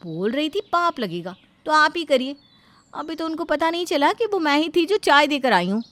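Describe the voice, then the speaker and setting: A woman's voice: high voice, big pitch swings, normal speed, fairly bright tone, voice pushed up. A woman voicing a scheming young daughter-in-law in a story, eager and pleading.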